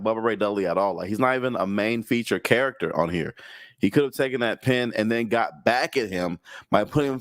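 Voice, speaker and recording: A man's voice: mid-range voice, no room sound. Stuffy guy rambling about wrestling, lots of likes, dry close mic. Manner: rambling, many likes and restarts. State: stuffy nose. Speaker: co-host. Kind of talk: casual wrestling review chat. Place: home in Houston.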